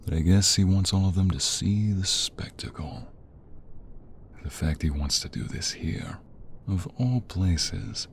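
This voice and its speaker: A man's voice: sits low, creaky, slight background noise. A man playing a protective, troubled mobster who speaks earnestly and tenderly to his lover in an ASMR roleplay.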